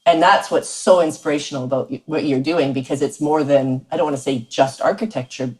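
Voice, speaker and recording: A woman's voice: deep voice, brisk, darkish tone, poor audio. A woman from Canada hosting an interview podcast, effusive and admiring as she introduces her guests and questions. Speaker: podcast host. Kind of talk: interview host chat.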